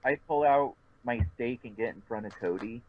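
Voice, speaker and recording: A man's voice: mid-range voice, normal speed, muffled recording. A man chatting casually as a player in a tabletop roleplaying game. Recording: faintly noisy voice-chat mic.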